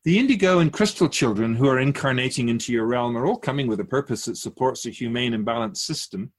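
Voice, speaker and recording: Give this voice to a wise, otherworldly keeper of souls played by a man in a radio drama. The delivery is measured and formal, with a slightly husky tone at a middle pitch. It counsels humanity like a patient teacher. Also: expressive, close to an everyday voice. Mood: earnest, grave. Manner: measured, formal, didactic, rhetorical questions.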